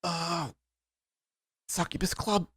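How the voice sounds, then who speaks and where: A man's voice: on the high side, steady tone, takes it slowly, fairly bright tone, husky, no echo, clean studio sound, pitched above the performer's own voice, exaggerated voice. A man voicing a frightened young captive vampire in a tabletop game, stammering, groaning and cursing in a strained voice. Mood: evasive. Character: panicked young male captive vampire, pleading and lying.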